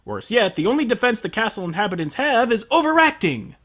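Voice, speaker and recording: A man's voice: sits high, very animated, brisk, a bit bright. A man delivering a scripted comedic review as a show host, with a sardonic, emphatic manner.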